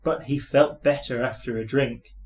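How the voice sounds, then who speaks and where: A man's voice: mid-range voice, fairly even intonation, normal speed. A man reading a children's story aloud as scripted narration.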